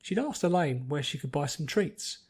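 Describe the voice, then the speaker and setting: A man's voice: a little high, expressive, normal speed, husky. A man reading scripted story narration as an audiobook narrator.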